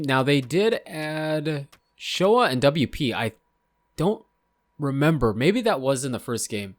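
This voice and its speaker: A man casually talking over game footage, rambling and unsure of himself, a little hoarse from losing his voice. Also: mid-range voice. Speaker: gaming channel host. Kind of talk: casual gameplay commentary.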